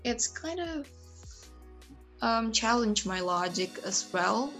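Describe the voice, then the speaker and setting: A woman's voice: on the high side, expressive, slow, fairly bright tone, soft background music. A young woman from Indonesia, speaking English as a second language in a casual interview, with many fillers and restarts. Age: young adult. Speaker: interview guest, computer science student.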